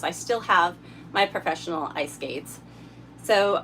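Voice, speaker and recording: A woman hosting a casual livestream while tidying her RV, a little hoarse from a summer cold, chatting and thanking viewers by name. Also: medium pitch, a bit bright, slight background noise, low-quality recording. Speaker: livestream host. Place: inside an RV.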